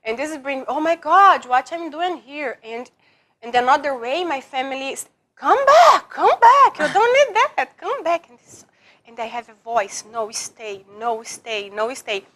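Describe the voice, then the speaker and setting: A woman's voice: sits high, big pitch swings, measured pace, pushed and bright. A woman from Brazil with a Brazilian Portuguese accent and broken English, telling her life story in an interview, often repeating and restarting words. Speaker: guest.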